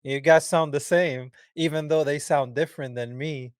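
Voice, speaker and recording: A man's voice: on the high side, fairly even intonation, normal speed, clean tone, dry recording, clean studio sound. A man from Palestine hosting a podcast, chatting casually and emphatically with his guest. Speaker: podcast host. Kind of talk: casual interview chat.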